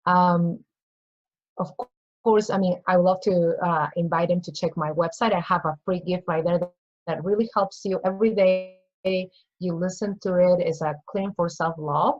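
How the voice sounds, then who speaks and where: A woman's voice: fairly low pitch, fairly even intonation, moderate pace, darkish tone, muffled recording. A woman from Peru with a Peruvian Spanish accent, speaking earnestly as a guest coach in a casual interview and acting out little inner voices. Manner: earnest, repeats phrases, acts out inner voices.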